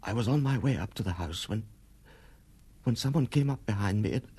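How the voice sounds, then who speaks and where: Hurt shaken film director in a radio play, really husky, weak and stumbling over words. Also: male voice, fairly low pitch, lively intonation, a little fast, warm tone. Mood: shaken, halting. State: weak, halting, struggling to speak. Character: injured male film director, shaken and insistent. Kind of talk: radio drama acting.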